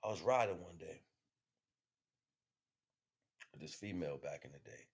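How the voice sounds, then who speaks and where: A man with an American accent and a notably low-pitched voice hosts a casual livestream monologue on spiritual matters. His delivery is conversational and slangy, with swearing mixed in freely. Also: fast talker, breathy edge. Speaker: livestream host.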